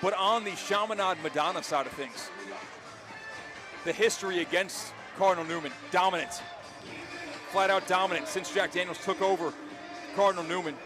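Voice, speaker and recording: High-pitched, emphatic male play-by-play announcer. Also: moderate pace, long pauses, music in the background, crowd noise. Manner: emphatic, dramatic phrasing. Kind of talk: excited live football commentary.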